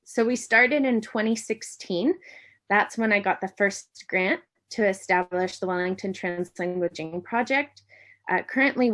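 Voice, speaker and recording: Friendly American woman lecturer, middle-aged, presenting online with many ums and restarts. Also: mid-range voice. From United States. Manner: friendly, with frequent ums and self-corrections. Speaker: university lecturer.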